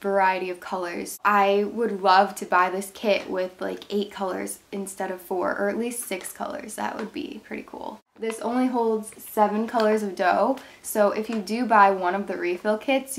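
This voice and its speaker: A woman's voice: mid-range voice, steady tone, moderate pace, vocal fry, hardly any pauses. Stuffed-up young woman reviewing crafts. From Ohio, USA. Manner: chatty, rambling, upbeat. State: stuffed up, nasal from a cold. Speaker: craft channel host. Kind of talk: casual product review vlog. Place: bedroom, indoors.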